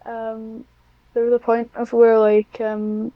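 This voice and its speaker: A woman's voice: fairly high pitch, monotone, slow, clean tone, no room sound, narrow, tinny audio. A woman chatting casually as an interview guest, in a hesitant, self-deprecating way. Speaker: guest, hobbyist audio script writer.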